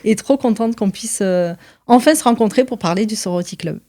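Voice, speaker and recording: A middle-aged woman talks in a relaxed, conversational podcast style. Her voice sits at an average pitch for a woman. She builds sentences in short pieces, hesitating often between them. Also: a little fast. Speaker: podcast co-presenter, business manager. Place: indoors at her home.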